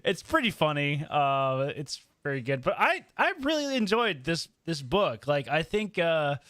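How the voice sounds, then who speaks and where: A man's voice: a little high, sing-song, unhurried, a bit bright, no room sound, clean studio sound. A man on a podcast panel giving a casual, informal review peppered with "like".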